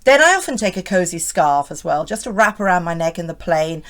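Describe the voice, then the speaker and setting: A hoarse woman in her fifties gives a chatty, reassuring run-through of outfits. Her pitch rises and falls a great deal as she talks, and she keeps turning to the viewer with little tag questions. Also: very animated, a little fast. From United Kingdom. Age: 50s. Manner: chatty, reassuring, often asks the viewer "isn't it". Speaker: style vlogger. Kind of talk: friendly scripted fashion vlog.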